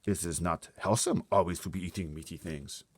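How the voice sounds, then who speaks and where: A man voicing a kindly, gentle male giant from a children's storybook, earnest and tender, with muddled grammar. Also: low-pitched, a little fast, background noise.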